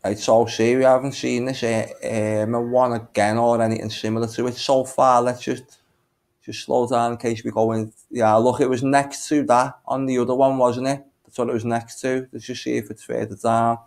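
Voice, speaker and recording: A man's voice: medium pitch, flat delivery, normal speed, gravelly, darkish tone. A man giving casual, rambling commentary as he shares his screen, thinking aloud as he goes. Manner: rambling, thinking aloud, muttering little filler tunes. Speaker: video maker and narrator.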